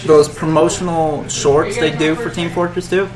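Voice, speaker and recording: A man's voice: a little high, little pitch movement, moderate pace, over music, low-quality recording. A man hosting a casual group discussion in an informal, conversational way. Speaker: host of a group discussion show. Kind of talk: casual panel chat. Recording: background crowd chatter.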